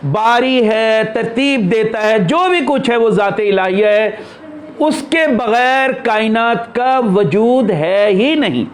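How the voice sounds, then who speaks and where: High-pitched man teaching at a slow pace. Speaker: spiritual teacher. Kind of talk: unscripted religious discourse.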